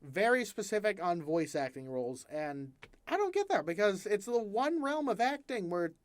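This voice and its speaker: A young man from the American South without a Southern accent, hosting a casual chat, relaxed and chatty with lots of fillers and laughs. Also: high-pitched, moderate pace, dry recording. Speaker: podcast host.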